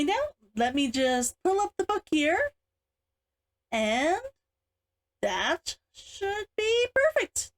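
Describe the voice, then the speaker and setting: A woman with a chirpy, expressive manner, speaking as an author hosting her own story read-along. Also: high voice. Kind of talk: animated chat and story read-aloud.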